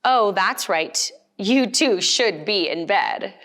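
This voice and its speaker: Slow-paced woman reading a children's storybook. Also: mid-range voice, animated. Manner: gentle, addressing small children with questions. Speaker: storytime reader. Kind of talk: children's picture book read-aloud with asides to young listeners.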